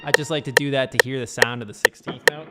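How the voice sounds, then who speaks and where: A man's voice: medium pitch, fairly even intonation, fast talker. A man teaching guitar on a casual livestream, talking loosely with lots of fillers. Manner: loose, rambling, full of fillers.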